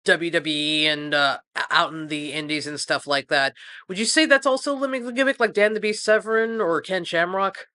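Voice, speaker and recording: A woman co-hosting a podcast, chatting casually and posing questions in a halting, hesitant way. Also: lowish voice, bright, forceful tone. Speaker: podcast co-host.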